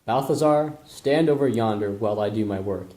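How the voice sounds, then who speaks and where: A man's voice: on the low side, normal speed, dark tone, lightly acted. A man voicing an intense, lovelorn young nobleman in an amateur Shakespeare puppet play. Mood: threatening. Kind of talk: amateur puppet show acting. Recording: roomy echo.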